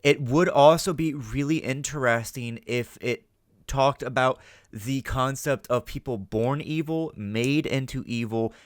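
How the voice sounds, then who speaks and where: A man's voice: mid-range voice, measured pace, no room sound, clean studio sound. A man giving casual, rambling commentary as a reaction host, emphatic, often tagging on "right?" and swearing freely. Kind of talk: casual spoken commentary on an audio drama.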